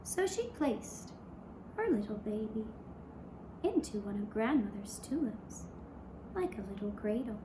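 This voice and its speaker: A woman with a fairly high voice narrates a children's fairy tale at a very slow pace. She is gentle and measured, pausing between short phrases. The sound is roomy and echoing. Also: sing-song. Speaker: puppet show storyteller. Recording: roomy echo, clear background noise.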